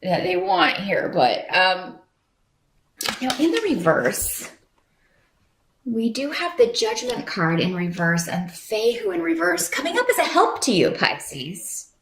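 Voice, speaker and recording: A woman's voice: mid-range voice, sing-song, a little slow, fairly bright tone, a little hiss or hum. A woman giving an unscripted tarot reading to viewers in a conversational, rambling, reassuring way. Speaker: tarot reader and channel host. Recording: roomy echo.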